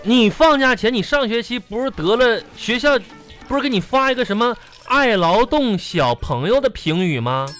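A man's voice: very high voice, expressive, moderate pace, a bit bright, faint music behind. A man playing a blustering, stingy, teasing father in a comedy radio drama, sputtering and repeating himself as he coaxes and scolds. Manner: sputtering, repetitive, coaxing and scolding.

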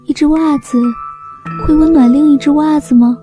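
A woman playing a naive, devoted young sock in a fairy-tale radio drama, in a soft, childlike, plaintive way. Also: high-pitched, fairly even intonation, moderate pace, lightly acted. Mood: stubborn longing. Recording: clear music behind voice.

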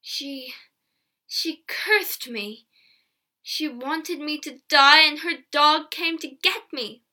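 A woman voicing a grumpy, indignant fat male peddler of fifty in a storybook, speaking slowly and emphatically. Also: sits high, expressive, a bit bright. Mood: weak, accusing. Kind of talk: storybook character acting.

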